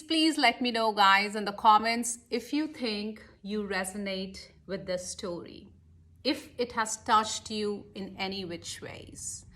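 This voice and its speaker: A woman's voice: medium pitch, pushed and bright. A middle-aged woman with an Indian accent, speaking emphatically and directly to listeners as a life coach telling motivational stories. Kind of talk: motivational talk with storytelling.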